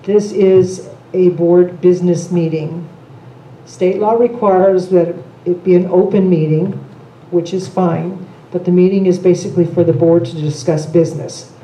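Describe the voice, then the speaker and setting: An older woman leads a board meeting in a large conference room. Her voice is low and very dark, measured and procedural, with pauses and ums. The room rings with echo. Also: steady tone, a little hiss or hum. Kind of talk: meeting discussion. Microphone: table microphone. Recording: echoey room, camera mic.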